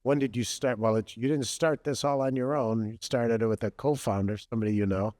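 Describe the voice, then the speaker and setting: A man hosting a podcast in a relaxed way, with many hesitant ums and uhs. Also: medium pitch, a little fast, dry recording. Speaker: podcast host. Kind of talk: casual podcast interview.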